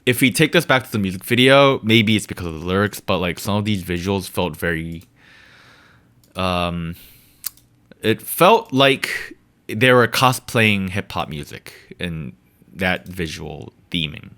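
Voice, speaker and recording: A man's voice: deep voice, lively intonation, unhurried, a bit bright. A man whose first language is Korean co-hosts a casual podcast chat. He rambles and swears, and he leans hard on fillers like "like" and "you know.". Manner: rambling, profane, heavy on fillers. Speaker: podcast co-host.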